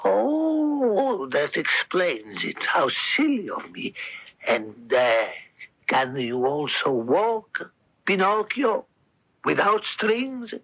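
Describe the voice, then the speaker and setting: A man playing a gentle, excitable old woodcarver and doting papa, theatrical and exclamatory, in a 1970s radio drama. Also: high-pitched, very slow, creaky, slightly rough, exaggerated voice, rough recording. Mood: amazed, delighted.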